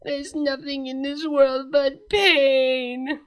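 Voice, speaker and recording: Brief: dazed, moaning princess, very high, wailing, woman reading. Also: level tone, drawn-out words, faint music behind.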